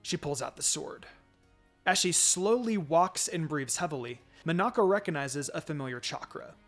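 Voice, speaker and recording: Man for dramatic fan fiction narration, fairly high voice, music under the read. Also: lively intonation, normal speed.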